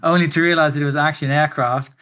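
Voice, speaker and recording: Higher-voiced man, casual reaction commentary. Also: steady tone, very fast, bright, forceful tone. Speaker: host reacting to recordings, pilot.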